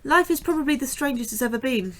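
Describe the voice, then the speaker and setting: A woman's voice: high voice, lively intonation, quick pace, a bit bright. An actress playing a sarcastic, anxious young astrobiologist who narrates her days at the base. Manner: wry, chatty, sarcastic. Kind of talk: audio drama acting and narration.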